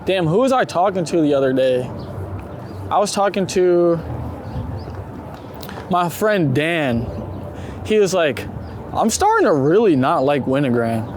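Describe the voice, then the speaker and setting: A man's voice: fairly high pitch, expressive, unhurried, pauses often. A man talking casually and informally, with some swearing, as a street photographer being interviewed outdoors while walking. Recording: loud outdoor city street noise. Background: traffic and urban street noise.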